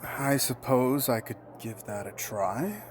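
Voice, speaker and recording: A polite, guarded friend in an audio drama. His mid-pitched voice is measured and formal, over light background ambience. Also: expressive, background noise. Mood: troubled.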